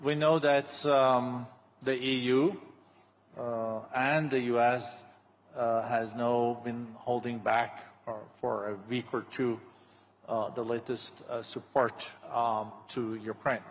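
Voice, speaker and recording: A man's voice: mid-range voice, flat delivery, slow. A man moderating an onstage interview, asking casual questions that are full of fillers. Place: conference hall stage. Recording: reverberant conference hall.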